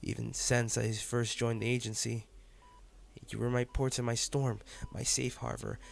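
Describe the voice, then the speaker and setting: A man's voice: mid-range voice, level tone, normal speed, gravelly. A man playing an intense, protective male field agent in a dramatic audio roleplay, speaking emotionally and emphatically to his partner. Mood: pained, confessing. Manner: emotional, emphatic, addressing the listener directly.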